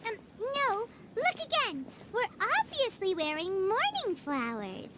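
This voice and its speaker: A woman voicing a tiny, childlike, excitable floating fairy in a video game, with a piping, squeaky voice and an exclamatory manner. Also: a little slow, very noisy, exaggerated voice. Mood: puzzled, correcting. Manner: exclamatory, speaks of self in third person.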